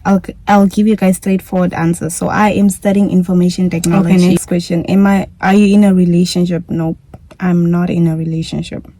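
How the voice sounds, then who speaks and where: A woman's voice: on the low side, level tone, fairly quick, mellow, dry recording. A young woman from Namibia with a Namibian English accent, chatting casually in a vlog Q&A and repeating herself often. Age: young adult. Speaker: YouTuber.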